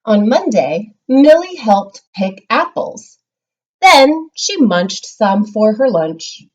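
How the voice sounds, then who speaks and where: A woman teacher giving a read-aloud lesson to young children, explaining simply and reading with expressive emphasis. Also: a little high, slow pace, lo-fi sound. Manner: instructional, simple explanations with check-ins. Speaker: primary school reading teacher.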